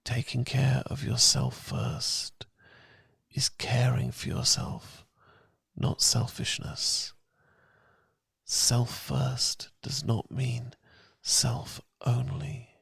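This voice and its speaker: British guy doing boyfriend asmr, really creaky breathy soft voice, slow n calming, close dry mic. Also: medium pitch, dry recording. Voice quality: soft, breathy, gravelly, partly whispered. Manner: gentle, calming, intimate, unhurried. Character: loving, reassuring boyfriend. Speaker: ASMR roleplay performer. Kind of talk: romantic ASMR, soothing guided meditation. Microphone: close, dry ASMR miking.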